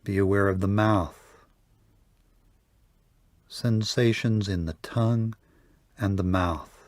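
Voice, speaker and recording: Slow, calm man guiding meditation. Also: on the low side, dry recording. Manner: slow, soft, calm guiding with long pauses. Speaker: meditation teacher. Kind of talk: guided meditation.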